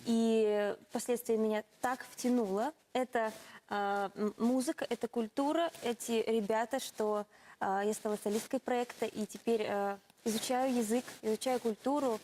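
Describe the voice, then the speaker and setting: A woman from Udmurtia, the band's lead singer, telling her story in a studio interview with many pauses and fillers. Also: on the high side, steady tone, warm tone, a little hiss or hum.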